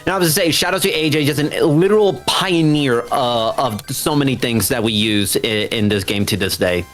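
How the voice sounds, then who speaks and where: A man talks casually during a live game race as one of the runners. His mid-pitched voice comes in halting bursts with repeated words, and music plays quietly behind. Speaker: speedrunner in the race.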